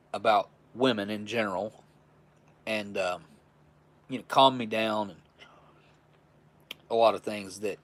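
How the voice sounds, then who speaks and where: A man in his forties hosting a live stream, chatting casually with viewers in a rambling, chuckling, easygoing way. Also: on the low side. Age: 40s. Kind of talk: casual live chat reading viewer messages.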